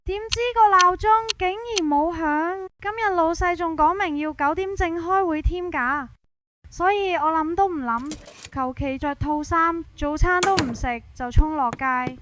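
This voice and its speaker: A woman's voice: very high voice, unhurried, background noise. A woman with a Hong Kong Cantonese accent narrates a scripted suspense story in the first person, in a colloquial, dramatized way.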